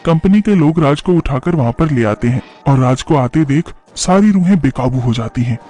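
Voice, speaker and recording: A man's voice: on the high side, expressive, moderate pace, mellow. A man narrating a scripted horror story.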